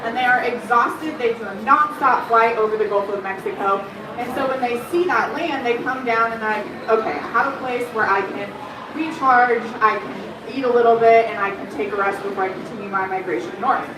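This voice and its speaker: A woman giving a lively, chatty talk on coastal birds to a live audience, asking the crowd questions and filling gaps with ums. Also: on the high side, moderate pace. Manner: says she has a loud voice. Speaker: bird conservation educator. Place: room at an aquarium. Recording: echoey room, far from the mic, background noise.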